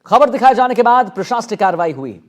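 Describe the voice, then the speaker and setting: A man's voice: sits high, sing-song, quick pace, darkish tone. A man anchoring live TV news in an emphatic, repetitive way.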